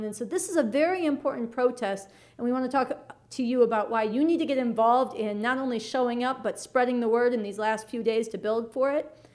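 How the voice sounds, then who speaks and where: An American woman with a fairly high voice hosts political talk. She addresses listeners directly, earnest and urgent, leaning firmly on her points. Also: darkish tone. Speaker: show co-host.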